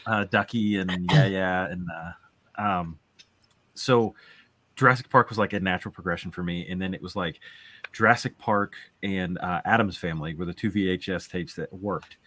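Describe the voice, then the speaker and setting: A man in his thirties chatting casually on a video call as a co-host, in a laid-back, halting, informal way. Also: low-pitched, bright, forceful tone, no echo. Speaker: guest co-host on a live show. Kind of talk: casual chat, reminiscing.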